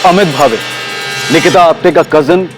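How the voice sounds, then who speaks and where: An actor as a grave narrator, giving dramatic scripted narration for a TV crime show. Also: male voice, on the high side, moderate pace, natural voice. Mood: grave, suspenseful. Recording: loud dramatic music behind.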